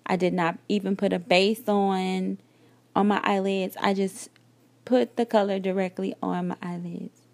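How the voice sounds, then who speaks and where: A woman in her thirties giving a relaxed, chatty voiceover for a makeup tutorial, explaining each step. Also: mid-range voice, measured pace, gravelly, no room sound. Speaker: beauty vlogger. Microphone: voiceover recorded separately.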